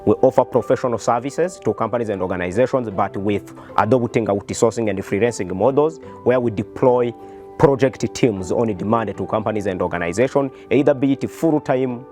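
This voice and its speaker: A man's voice: mid-range voice, a little fast, darkish tone, soft background music. A man with a Rwandan accent speaking as a company founder, explaining his business in an earnest interview style. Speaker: startup founder and CEO.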